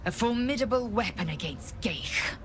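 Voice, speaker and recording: A woman's voice: on the high side, expressive, background noise, lightly acted. A woman playing a fierce, devout githyanki warrior in a video game, speaking formally and with reverence. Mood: grave, instructive. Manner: solemn, measured, formal, reverent. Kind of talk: dramatic video game acting. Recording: music behind the voice.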